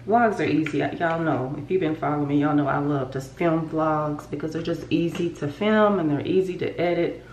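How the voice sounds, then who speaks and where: A woman in her thirties with a stuffy, congested nose chats loosely to her viewers, rambling from one thought to the next. Her voice sits low for a woman. It rings in a roomy bathroom, a bit away from the camera mic. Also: a little mellow, distant mic, a little hiss or hum. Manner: chatty, rambling, talks straight to viewers. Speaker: vlogger. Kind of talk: casual chat to camera. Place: home bathroom.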